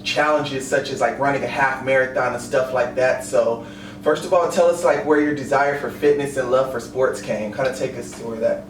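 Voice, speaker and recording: A man's voice: mid-range voice, steady tone, moderate pace. A man hosting a casual podcast interview as an online personal trainer, friendly and encouraging, speaking loosely with fillers. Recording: roomy, distant mic, ambient noise.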